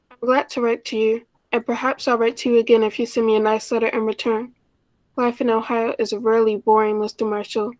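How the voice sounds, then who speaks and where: Shy, wistful unmarried sister played by a woman, higher register, earnest radio-play delivery, very dry recording. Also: darkish tone, no echo, lightly acted, low-quality recording. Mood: shy, hopeful. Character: shy, lonely, self-effacing unmarried older sister from Ohio. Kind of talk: 1940s radio play acting, first-person narration.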